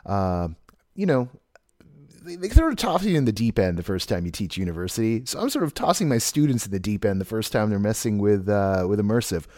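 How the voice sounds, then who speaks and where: A man hosting a podcast, hoarse and tired, talking in a rambling way with many restarts and asides. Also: fairly low pitch, very animated, fairly quick, a little mellow, dry recording, studio quality. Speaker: podcast host. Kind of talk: casual chat and solo monologue.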